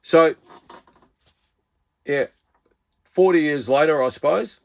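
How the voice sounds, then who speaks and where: A man's voice: a little high, takes it slowly, vocal fry. An Australian man in his fifties, a radio hobbyist doing a casual video review in a rambling, laid-back way and using plenty of slang.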